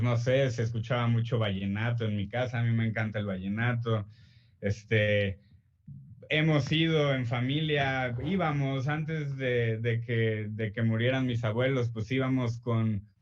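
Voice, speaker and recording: A young Mexican man, an author answering interview questions in a relaxed, hesitant way full of fillers and restarts. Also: medium pitch, dull audio.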